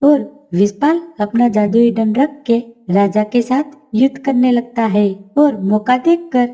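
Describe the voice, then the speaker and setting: A woman's voice: fairly high pitch, unhurried, dark tone, slightly rough, faint music behind. A woman narrating a scripted children's bedtime fairy tale. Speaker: story narrator.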